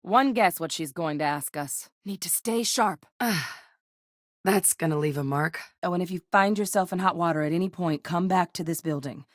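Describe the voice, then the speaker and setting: A woman playing a seasoned, guarded ex-military scientist in a video game, speaking in a measured, serious, matter-of-fact way. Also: on the low side, no echo, studio quality, lightly acted. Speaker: video game companion character.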